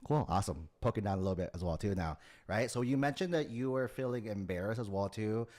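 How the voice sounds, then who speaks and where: A man's voice: lowish voice, lively intonation, fast talker, darkish tone. A man working as an admissions coach, asking layered follow-up questions in a casual, probing way and often tagging on "right?". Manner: probing, repeats "right?" and "as well too".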